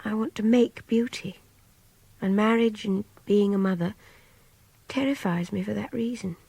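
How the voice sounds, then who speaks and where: Young captive woman, English accent, radio drama, confiding, reflective, breathy, some fry, dry intimate sound. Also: mid-range voice, a little slow, mellow, no echo. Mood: anxious, reflective. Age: young adult. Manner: intimate, confiding, often dropping towards a whisper. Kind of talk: radio drama interior monologue.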